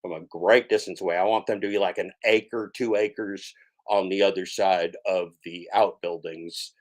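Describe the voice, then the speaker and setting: A man's voice: deep voice, fairly even intonation, moderate pace. A man hosting a livestream, rambling in a folksy, mock-grandiose way, with lots of filler "uh"s and phrases he repeats for comic effect. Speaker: livestream host.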